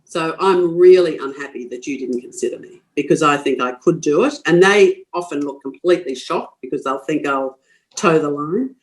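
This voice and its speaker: A woman's voice: sits low, normal speed, rough recording. An older woman with an Australian accent from Queensland, a guest in a podcast interview, talking frankly and forthrightly with frequent restarts. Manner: frank, forthright, laughs easily, many restarts.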